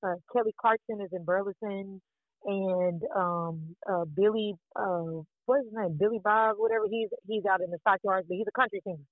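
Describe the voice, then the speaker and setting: A woman from Fort Worth, Texas, with a deep, raspy voice, talking excitedly as a singer being interviewed, rambling and repeating words. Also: normal speed, no echo. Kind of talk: casual interview chat. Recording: dry, tinny phone-like line.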